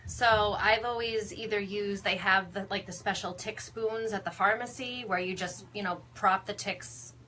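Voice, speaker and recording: A woman's voice: mid-range voice, fairly even intonation, moderate pace, hardly any pauses, pushed and bright, poor audio. A woman co-hosting a casual clinic chat, with some noise in the background. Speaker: clinic staff co-host.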